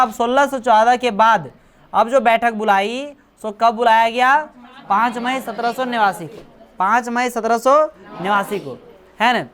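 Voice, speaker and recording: A woman's voice: on the high side, fairly bright tone. A woman coaching-class teacher giving a classroom history lecture, often checking that students follow and repeating dates. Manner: keeps checking understanding, repeats dates, teasing asides.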